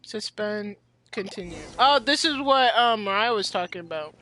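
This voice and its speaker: A teenage girl rambling and reacting loudly while commenting on her gameplay. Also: mid-range voice, animated, normal speed. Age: teens. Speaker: gameplay streamer.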